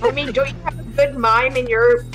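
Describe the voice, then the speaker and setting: A woman's voice: high-pitched, steady tone, brisk, music in the background. A woman podcast host making casual, quippy jokes while watching a film. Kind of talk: casual movie reaction commentary. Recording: film soundtrack playing behind.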